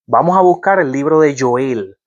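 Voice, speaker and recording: A man's voice: mid-range voice, expressive, normal speed, muffled recording. Man teaching from the Bible. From Puerto Rico. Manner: asks the listener rhetorical questions, reads scripture aloud. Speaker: preacher.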